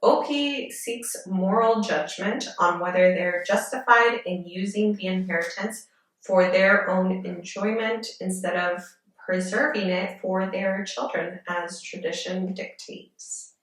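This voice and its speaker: A woman's voice: medium pitch, steady tone, unhurried, a bit bright. Woman host, very echoey and distant. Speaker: podcast host. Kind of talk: casual chat and summarizing forum posts.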